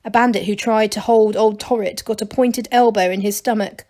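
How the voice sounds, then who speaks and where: A woman's voice: medium pitch, steady tone, normal speed, warm tone. A woman reading a children's storybook aloud as narrator, like a teacher to a class.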